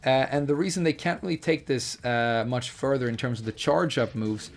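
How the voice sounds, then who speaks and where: A man with a slightly hoarse voice, casually explaining a fighting game character in a chatty, hedging way with frequent fillers. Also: medium pitch, moderate pace. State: hoarse, losing his voice. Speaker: fighting game guide creator. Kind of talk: casual video game tutorial commentary.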